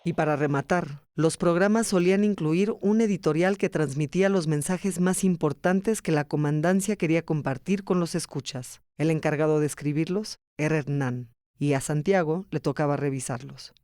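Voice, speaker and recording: Higher-voiced male documentary narrator. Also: normal speed, hardly any pauses, a little mellow, no room sound. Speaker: podcast narrator.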